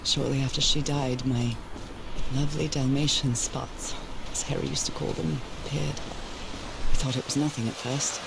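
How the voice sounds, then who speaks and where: A woman's voice: very deep voice, fairly even intonation, moderate pace, gravelly, background noise. An actress voicing a curious woman explorer who muses thoughtfully to herself in a game. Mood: musing. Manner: thoughtful, musing asides. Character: curious woman explorer searching for her husband. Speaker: game protagonist. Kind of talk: scripted game voice acting.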